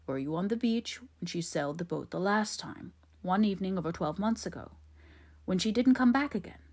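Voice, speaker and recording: A woman voicing a composed, authoritative military gentleman in an audiobook, measured and dry yet kindly. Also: mid-range voice, lively intonation. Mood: gentle, probing. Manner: measured, reassuring, questioning.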